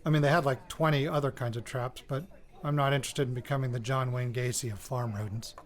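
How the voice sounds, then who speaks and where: A man's voice: medium pitch, steady tone, quick pace, natural voice. A man with an American accent playing a wry radio reporter in an audio drama, rambling hesitantly into his recorder and interrupting himself. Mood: wry, chatty. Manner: hesitant, self-interrupting, wryly joking. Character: rattled, wry young man, radio reporter returning home.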